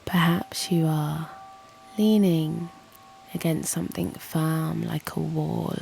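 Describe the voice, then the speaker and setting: Very slow, hushed woman guiding meditation. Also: deep voice, level tone, poor audio. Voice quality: soft and breathy, often near a whisper. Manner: soothing, hushed, gently encouraging. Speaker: yoga nidra guide and channel host. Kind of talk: guided meditation. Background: soft music.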